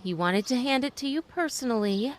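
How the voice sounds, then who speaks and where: An actress playing a gentle, guarded young mother, proper and hesitant, in an old-time radio drama. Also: a little high, expressive, brisk, lightly acted. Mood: hesitant, warm.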